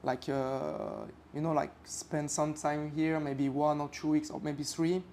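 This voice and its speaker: A young man from France with a French accent, chatting casually as a podcast guest and often pausing to search for English words. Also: on the high side, flat delivery, a little mellow, a little hiss or hum. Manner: halting, searches for English words with many fillers.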